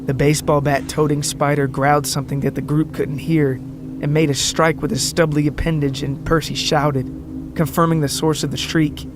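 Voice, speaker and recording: A man's voice: fairly high pitch, level tone, normal speed, noisy background. A man narrating a scripted podcast story in a dramatic, tongue-in-cheek way. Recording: music behind the voice. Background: background music.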